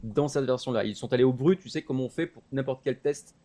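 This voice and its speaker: A man's voice: medium pitch, brisk, mellow. A man, explaining and discussing casually as a panelist on a talk show.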